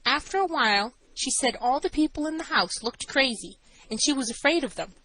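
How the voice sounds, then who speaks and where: A woman's voice: high-pitched, lively intonation, fairly quick, sharp tone, pitched above the performer's own voice, rough recording. A woman voicing an anxious, trembling assistant matron earnestly giving testimony in an audiobook.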